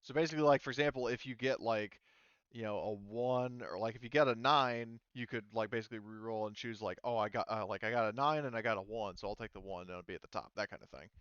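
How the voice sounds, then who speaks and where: A man's voice: lowish voice, fast talker, a bit bright, clear, resonant tone, no echo, muffled recording. A man acting as game master, casually explaining tabletop game rules to players.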